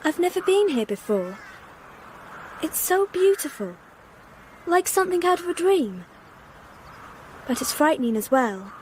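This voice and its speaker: A woman's voice: high-pitched, sing-song, measured pace, pauses often, a little mellow, slight background noise, poor audio. An actress playing a dreamy, trusting young girl in a radio play, speaking with earnest simplicity. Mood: awed, trusting.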